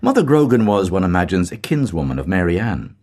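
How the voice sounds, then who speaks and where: A man's voice: deep voice, very animated, a little fast, a little mellow. A man voicing a grave, aloof young male scholar who speaks dryly and tersely.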